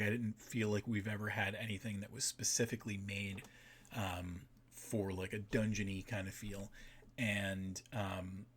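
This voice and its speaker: Male stream host, lower register, informal and halting, talking through things casually. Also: a bit bright. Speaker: map maker and stream host. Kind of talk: casual livestream commentary.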